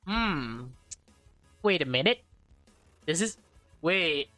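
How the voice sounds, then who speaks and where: A woman's voice: lowish voice, lively intonation, slow, fairly bright tone, no echo, faint music behind. A woman reading a visual novel aloud as a let's player, stumbling over and repeating words, with slangy, casual reactions.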